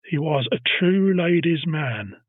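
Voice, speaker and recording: A man's voice: fairly high pitch, animated, measured pace, breathy edge, no room sound, narrow, tinny audio. A man hosting a casual history podcast, telling a story and teasing his co-host.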